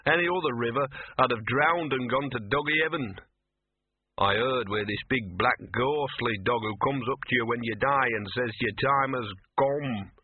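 Storybook talking mutt, male narrator performing: small, hoarse, tipsy, grumbling cynic full of self-pity; narrow radio-like sound. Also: medium pitch, moderate pace, close to an everyday voice. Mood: maudlin self-pity. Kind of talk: audiobook character voice.

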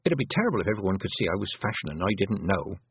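A man voicing an earnest, sharp-witted young girl witch, in a raised, eager voice. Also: deep voice, very animated, rapid-fire, slightly rough, no room sound, full character voice. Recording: tinny, phone-like lo-fi audio.

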